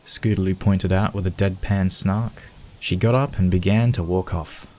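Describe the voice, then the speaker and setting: A man's voice: sits low, steady tone, normal speed, warm tone, low-quality recording. A man reading scripted story narration as the narrator of a piece of fan fiction.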